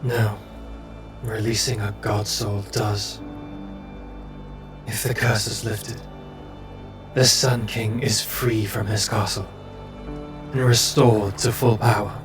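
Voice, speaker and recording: Man playing a grave, low-voiced fox spirit. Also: flat delivery, slow pace, pauses often. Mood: grave, resigned. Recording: music behind the voice.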